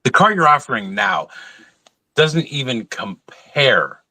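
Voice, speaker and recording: Guy on a podcast panel, very lively up and down, sharp bright voice, insistent. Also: mid-range voice, takes it slowly, dry recording. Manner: insistent, repeats phrases emphatically. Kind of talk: casual panel discussion.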